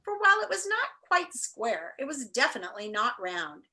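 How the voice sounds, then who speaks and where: Expressive, bright-voiced woman reading aloud. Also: on the high side, moderate pace. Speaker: read-aloud storyteller. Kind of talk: expressive read-aloud of a children's book with casual asides. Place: indoor room.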